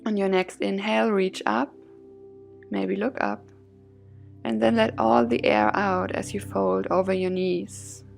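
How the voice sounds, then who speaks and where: A woman guides a yoga and meditation class at a slow, unhurried pace. Her medium-pitched voice has a creaky edge and a soothing, measured delivery. Soft music plays behind her. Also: steady tone. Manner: soothing, gently measured phrasing with breath cues.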